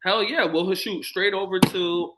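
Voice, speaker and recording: A man's voice: high-pitched, steady tone, measured pace, heavy background noise. A man co-hosting a casual sports podcast, laid-back and rambling, with the odd swear. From United States. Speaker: podcast co-host.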